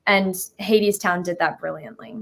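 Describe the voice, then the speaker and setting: A woman's voice: mid-range voice, moderate pace, vocal fry, a bit bright. A young woman chatting casually as a musical theater writer being interviewed, giggly and rambling. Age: young adult. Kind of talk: casual chat.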